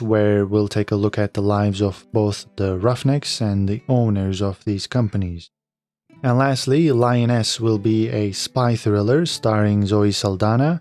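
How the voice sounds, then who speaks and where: Low-voiced man commentating over music. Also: darkish tone. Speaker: host of a TV news and opinion channel. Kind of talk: scripted commentary on entertainment news. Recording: music playing behind the voice. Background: background music.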